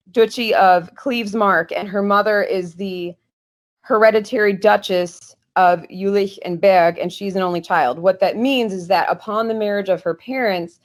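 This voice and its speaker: A woman talking as a guest historian on a podcast, earnest and explanatory, with small restarts. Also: fairly low pitch. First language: English.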